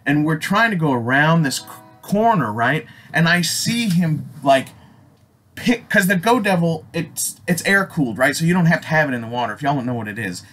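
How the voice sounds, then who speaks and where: A man from Alaska with an American accent telling a personal story casually, in an animated, rambling, slangy way full of swearing. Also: on the high side, moderate pace, faint music behind. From Alaska, United States. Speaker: storyteller, host. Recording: noisy amateur recording with ambient sound.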